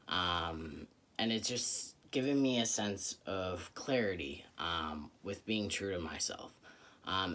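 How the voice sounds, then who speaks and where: Low, bright-voiced young man vlogging. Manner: earnest, thoughtful, with frequent "um" pauses. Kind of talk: reflective personal vlog.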